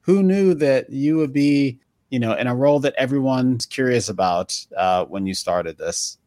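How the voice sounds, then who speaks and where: Male podcast host, mid pitch, conversational, dry close sound. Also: normal speed, a little mellow, dry recording. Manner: conversational, hesitant questions with restarts. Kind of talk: interview podcast.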